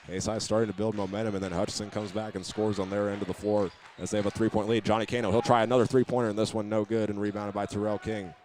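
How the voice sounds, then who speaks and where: A man's voice: fairly low pitch, level tone, quick pace, hardly any pauses, darkish tone, slight background noise. An American man giving live basketball radio commentary as a play-by-play announcer, narrating each move steadily and methodically. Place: basketball game in a gymnasium.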